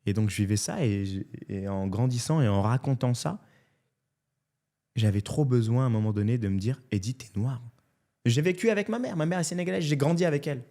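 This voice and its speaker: French man in his thirties, comedian guest, candid, animated storyteller, mid-pitched voice, casual chat. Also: brisk. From France. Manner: animated and candid, often adds "tu vois".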